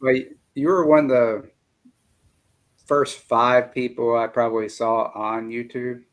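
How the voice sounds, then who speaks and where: A man's voice: mid-range voice, level tone, measured pace. A man about to turn fifty, hosting a casual interview with friendly, joking asides. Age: 40s. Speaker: interview host.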